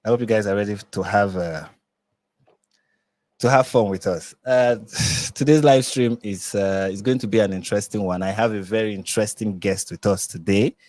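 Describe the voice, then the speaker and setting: A man's voice: on the low side, moderate pace, darkish tone, no echo. A man from Nigeria hosting a casual live stream, rambling with lots of fillers and restarts. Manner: rambling, many fillers and restarts, laughs often.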